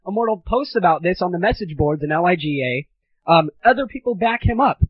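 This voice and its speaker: A man hosting a gaming audio show, delivering a belligerent, sarcastic, profane comedic rant in a husky voice. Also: high voice, animated, brisk. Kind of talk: ranting comedic monologue. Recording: dull lo-fi 1990s amateur recording.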